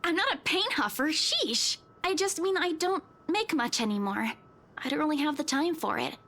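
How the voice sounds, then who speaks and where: A woman's voice: sits high, lively intonation, moderate pace, a bit bright. An actress playing a plucky young female property agent in a visual novel game, casual, defensive and a little flustered.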